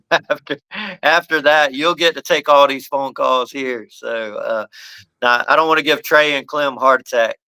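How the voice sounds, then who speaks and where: A man's voice: mid-range voice, sharp tone, smooth, poor audio. A man hosting a casual live-stream chat, laughing often and talking in an easygoing, upbeat way. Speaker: host.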